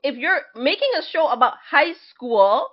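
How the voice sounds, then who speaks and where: Twenties woman, high lively voice, casual podcast co-host, emphatic, repetitive for stress, slightly dull recording. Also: normal speed. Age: 20s. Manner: emphatic, repeats points for stress. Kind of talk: casual conversational discussion.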